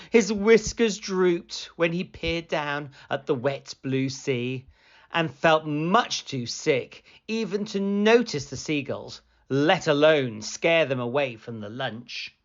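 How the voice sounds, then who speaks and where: A woman reads a picture book aloud for children. Her low voice moves slowly and with plenty of melody. The recording is a little dull and lo-fi. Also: lively intonation. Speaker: storybook reader. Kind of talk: read-aloud children's story narration.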